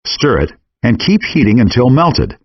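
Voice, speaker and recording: A man reading scripted cooking instructions in a measured, step-by-step way, as the narrator of a game. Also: huge pitch swings, husky. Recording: dull, compressed low-fidelity game audio.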